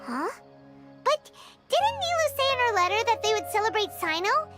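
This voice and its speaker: A tiny, bubbly game companion with a squeaky, extremely high childlike voice. The delivery swoops wildly between notes, excitable and curious. Loud music plays behind it. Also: female voice, normal speed. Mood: puzzled. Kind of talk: video game dialogue acting. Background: loud game background music.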